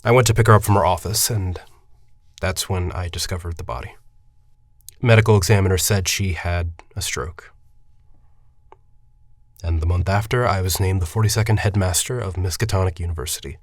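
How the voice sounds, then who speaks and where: A measured, low-voiced university headmaster. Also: male voice, no room sound. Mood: somber, confiding. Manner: measured, formal, deliberate. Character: composed, persuasive university headmaster, grieving a colleague, quietly calculating. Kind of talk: audio drama acting.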